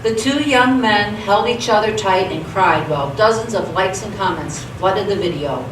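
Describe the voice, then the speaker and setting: A woman's voice: medium pitch, animated, moderate pace. A woman reading scripted narration as the narrator of a live radio theatre play. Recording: echoey theatre, distant mic, clear background noise.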